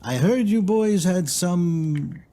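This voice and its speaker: A man's voice: high voice, animated, dark tone. A man playing a sly, smug loan shark in a video game cutscene, insinuating and falsely courteous. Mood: mock-polite, probing. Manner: unhurried, insinuating, mock-polite.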